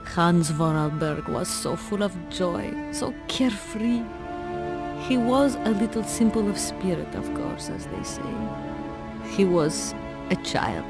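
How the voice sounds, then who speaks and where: A woman's voice: on the low side, animated, slow pace. An actress playing a melancholy old woman, a faded star, speaking mournfully and theatrically in a video game. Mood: nostalgic. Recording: music under the voice. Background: music.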